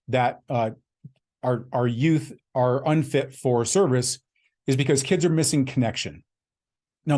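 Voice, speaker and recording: A man's voice: mid-range voice, a little mellow. A man in his fifties hosting a podcast, delivering a blunt, emphatic motivational monologue. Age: 50s. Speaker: podcast host.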